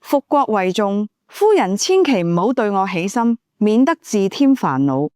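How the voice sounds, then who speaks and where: A woman's voice: mid-range voice, sing-song, unhurried, dark tone, dry recording, clean studio sound. A woman voicing an upright, wary male hero in an ancient-China audiobook, formal and earnest. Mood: stern, formal.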